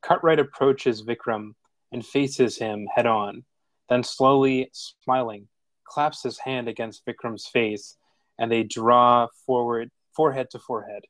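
Man, scripted narration, mid-range pitch, measured, plain, stage directions, no room echo. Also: fairly even intonation, fairly bright tone.

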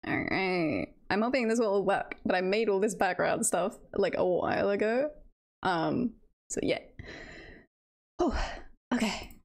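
A woman streamer chatting casually to her live audience in a nervous, excited, giggly and rambling way. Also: medium pitch, unhurried, long pauses.

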